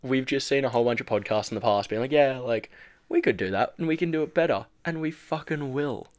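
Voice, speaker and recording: A man's voice: medium pitch, brisk, dry recording, clean studio sound. A young man with an Australian accent, co-hosting a podcast in cheeky, hyped casual chat with some swearing. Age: young adult. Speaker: podcast co-host.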